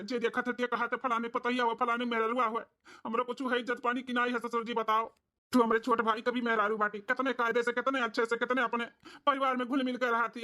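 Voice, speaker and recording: A performer plays an indignant village husband from a cartoon comedy. He complains in a bright, forceful voice with an Awadhi accent, rattling off rhetorical questions with little rise or fall. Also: medium pitch, natural voice. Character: aggrieved village husband, scolding his wife to her father.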